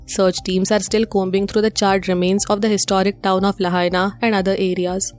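A woman's voice: medium pitch, a little mellow. Indian woman reading news over music. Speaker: news presenter.

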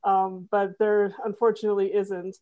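A woman's voice: mid-range voice, level tone, moderate pace, narrow, tinny audio. A woman who makes a comedy fiction podcast, explaining her genre earnestly as an interview guest, with plenty of fillers and restarts. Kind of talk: casual interview.

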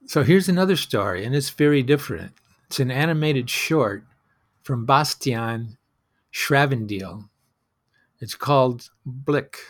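A man hosting a solo storytelling show, speaking in a reflective, conversational and wry way, as in a personal monologue. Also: medium pitch.

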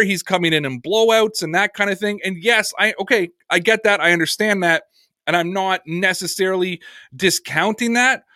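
A man's voice: sits high, bright, forceful tone, no room sound, clean studio sound. A man hosting a sports podcast, answering viewer comments in an earnest, conversational way.

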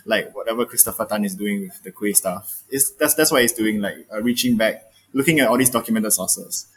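A man's voice: on the low side, fairly quick, a bit bright, breathy edge, rough recording. A young man, a guest in a casual panel chat, earnest and often repeating words. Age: young adult.

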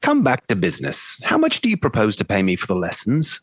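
A woman voicing a pompous male professor who barks orders and lectures grandly. The pitch leaps wildly between lines, and the recording is dry and close. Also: wildly swooping pitch, a little fast, breathy edge, dry recording, full character voice. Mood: commanding. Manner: imperious, brusque, theatrical. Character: arrogant upper-class male phonetics professor. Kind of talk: amateur play reading.

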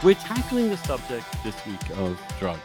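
A man's voice: medium pitch, huge pitch swings, moderate pace, over music. A man hosting a podcast, talking in an effusive, conversational interviewing style. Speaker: podcast host.

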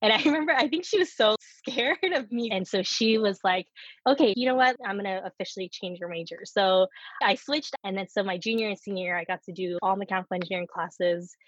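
Candid woman with a slightly husky voice. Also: medium pitch, brisk, no room sound, highs cut off. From United States. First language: Korean. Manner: candid, laughs often while telling stories. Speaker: startup co-founder guest. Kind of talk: casual interview conversation.

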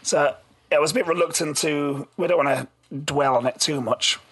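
A man hosting a casual podcast chat in a rambling, halting way, his voice a little lost. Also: on the high side, a bit bright, breathy edge. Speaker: podcast host.

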